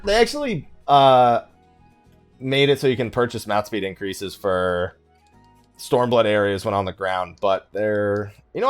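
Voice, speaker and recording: A man giving casual let's play commentary, rambling and thinking out loud with mild exasperation. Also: medium pitch. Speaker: gaming video host. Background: game music.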